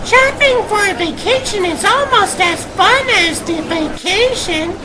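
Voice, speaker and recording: A woman's voice: very high-pitched, expressive, measured pace, background noise. A woman voicing a sassy, spirited cat superheroine in a comic book dub. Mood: cheerful.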